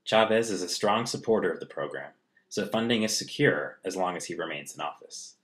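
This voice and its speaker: A man narrates from a prepared script in a somewhat low voice. The delivery is that of an explanatory narration, read line by line. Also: animated, fairly quick, a bit bright.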